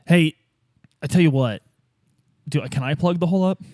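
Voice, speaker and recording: A man playing a tabletop roleplay, improvising a detective's lines in informal, expressive everyday talk. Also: fairly high pitch, normal speed, no echo, studio quality. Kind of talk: casual in-character improvised chat as a detective.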